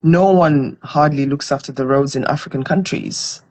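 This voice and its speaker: Low husky woman from Zimbabwe. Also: normal speed, a little mellow. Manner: earnest, explains with examples. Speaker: guest. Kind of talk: conversational interview.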